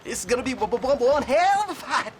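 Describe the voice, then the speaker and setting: An actor playing a jittery, foul-mouthed outlaw with a heavy stutter in a video game. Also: male voice, very high voice, sing-song, fast talker, a little hiss or hum. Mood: frantic, fierce. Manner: jittery, breaking words into repeated sounds. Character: frightened, stammering, foul-mouthed male outlaw captive turned gunfighter. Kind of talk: video game cutscene acting. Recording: loud music and gunfire behind.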